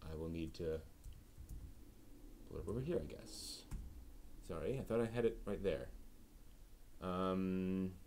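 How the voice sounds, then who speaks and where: A man hosting a live stream in a casual, improvised way, thinking aloud with halting phrasing. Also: low voice, darkish tone, a little hiss or hum.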